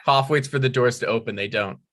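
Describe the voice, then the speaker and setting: A man's voice: mid-range voice, a little fast, a bit bright. A man hosting a comedy table read, reading stage directions and introducing scripts casually.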